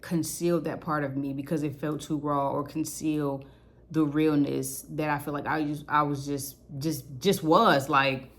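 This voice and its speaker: A young woman speaks into her own vlog in a low, naturally deep voice. She rambles candidly through her thoughts and drops curse words without thinking about it. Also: little pitch movement, measured pace. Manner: candid, rambling, casual cursing. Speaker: vlogger. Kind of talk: personal reflective vlog.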